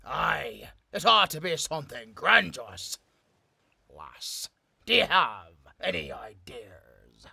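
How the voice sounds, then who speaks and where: A man playing a gruff, theatrical gentleman pirate captain with a gravelly, raspy voice in an audio roleplay. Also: fairly high pitch, dry recording, exaggerated voice. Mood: proud, musing. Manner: theatrical pirate talk, slow and expressive.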